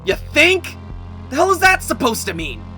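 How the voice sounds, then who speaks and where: A man's voice: very high-pitched, wildly swooping pitch, normal speed, pushed and bright, full character voice, poor audio. An actor playing an anxious, foul-mouthed young male prisoner in an audio drama, agitated and sarcastic, prone to exclaiming. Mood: panicked. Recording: music behind the voice.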